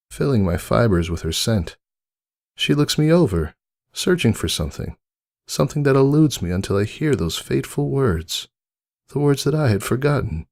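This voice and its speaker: Casting: wistful old rag doll narrator played by a man, deep and creaky, earnest dramatic storybook reading over music. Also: a little mellow. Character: wistful, devoted old stitched toy doll, longing for friendship. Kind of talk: dramatic fan fiction narration. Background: music under the voice.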